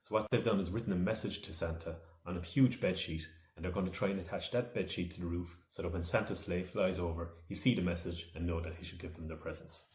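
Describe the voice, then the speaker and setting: A man with a lowish voice narrates a children's storybook. His delivery is expressive and dramatic. The room around him rings with noticeable echo. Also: quick pace. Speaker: author reading from own novel. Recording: echoey room.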